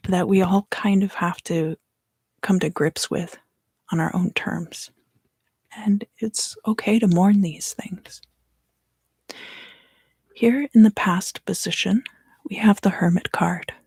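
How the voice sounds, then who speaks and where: Hushed, husky woman whispering ASMR. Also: mid-range voice, dry recording, clean studio sound. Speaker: tarot reader and ASMR host. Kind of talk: whispered ASMR tarot reading.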